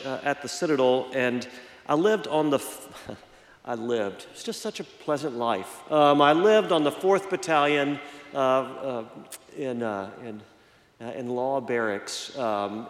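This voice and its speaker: A middle-aged man, slightly hoarse, preaching a reflective sermon in a church and telling a personal story with many fillers and restarts. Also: medium pitch, unhurried. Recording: echoey church hall.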